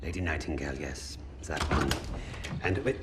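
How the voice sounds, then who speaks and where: An actor playing a commanding officer in a video game cutscene. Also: male voice, extremely low pitch, moderate pace, very noisy. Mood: cold, commanding. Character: agitated German Nazi officer interrogating prisoners.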